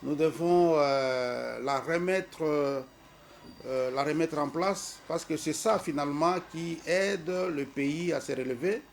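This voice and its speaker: Congolese man, middle-aged, slow hesitant speech with a preacher's emphasis, faint noise under the recording. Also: fairly high pitch, creaky. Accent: Congolese French. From Congo-Kinshasa. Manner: hesitant, many fillers. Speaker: school representative. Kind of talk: formal address presenting a school.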